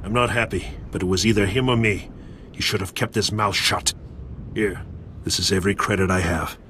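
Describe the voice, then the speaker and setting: A man's voice: low-pitched, steady tone, moderate pace, bright, forceful tone, slight background noise. An actor playing a shady, anxious man in over his head in a video game, talking in a furtive, streetwise way. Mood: uneasy, grim. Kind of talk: video game dialogue acting.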